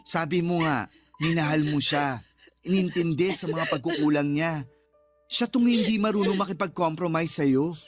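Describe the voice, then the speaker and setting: A woman's voice: deep voice, normal speed. An actress playing a sassy, fiercely protective young woman in a radio drama, slangy and emphatic. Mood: firm, protective. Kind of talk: Tagalog radio drama acting. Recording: music bed under voice.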